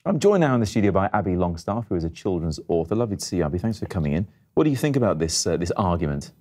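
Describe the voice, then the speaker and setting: British newsman with a lowish voice. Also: sing-song, very fast, darkish tone. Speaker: news presenter and interviewer. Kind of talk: broadcast news presenting. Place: TV studio.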